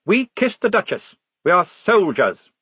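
An earnest young lieutenant, put on by a male reader, speaks in a stiff, speechmaking manner. The voice is husky and rises and falls a lot. The sound is close and dry. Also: sits high, sing-song, a little slow, fairly bright tone, no echo, voice pushed up, full character voice. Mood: firm.

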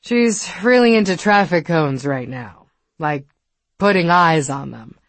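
A cool, guarded New York woman, as voiced by a female audiobook reader. She speaks in a measured, wry deadpan with a slightly low voice. The recording is dry and close. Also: dry recording. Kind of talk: audiobook character dialogue.

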